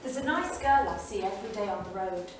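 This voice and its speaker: An actress playing a spirited, romantic 1930s wife in a dramatic staged reading. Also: female voice, mid-range voice, expressive, brisk, lightly acted. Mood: smitten. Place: small theatre studio. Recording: echoey theatre, distant mic, faint noise.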